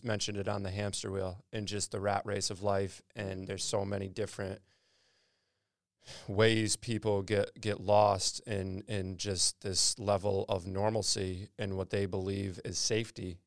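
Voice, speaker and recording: Gravelly low-voiced male podcast host. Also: level tone, normal speed, no echo, clean studio sound. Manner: friendly, rambling, repeats words while thinking. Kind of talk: casual interview conversation.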